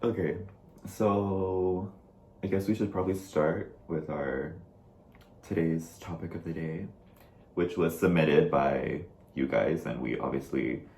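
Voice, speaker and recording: A man's voice: very deep voice, slow, gravelly, darkish tone, reverberant. A young man from Texas co-hosting a casual talk show over a video call, chatty and full of "like" and "I mean". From Dallas, Texas. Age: young adult. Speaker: co-host. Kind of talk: casual chat.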